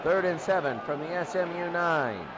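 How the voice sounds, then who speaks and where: A high-pitched male play-by-play commentator calls a football game as if for live radio. His calls are short and clipped, often repeating a phrase, and loud noise surrounds him throughout. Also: animated, moderate pace. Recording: very noisy game ambience.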